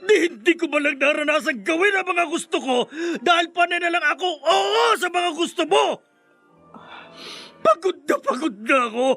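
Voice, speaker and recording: An actor playing a resentful, defiant grown son in a Filipino radio drama, heated and worn out, with a Filipino accent. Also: male voice, very high-pitched, expressive, moderate pace, sharp tone, soft background music, heavily acted. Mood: bitter outburst. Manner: heated, emotional delivery.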